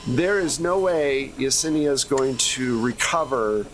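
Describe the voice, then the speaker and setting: A man's voice: medium pitch, expressive, measured pace, fairly bright tone, a little hiss or hum. A man speaking as game master and host of an actual-play podcast, in a conversational style.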